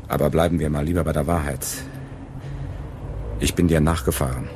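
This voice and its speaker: Wry, deep-voiced man. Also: steady tone, fairly quick, noisy background. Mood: wry, probing. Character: wry, persistent man who follows a woman friend. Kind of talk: German radio crime drama acting.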